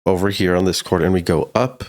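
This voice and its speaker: A man's voice: sits low, fairly even intonation, a little fast. A man giving a casual, slangy music production tutorial in a laid-back but enthusiastic way.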